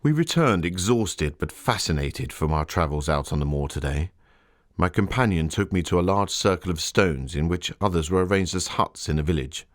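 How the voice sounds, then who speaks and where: An actor playing a Victorian gentleman author, narrating his travels in a formal, literary, theatrical manner. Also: low-pitched, expressive, normal speed. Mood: fascinated, grave. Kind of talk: scripted dramatic narration.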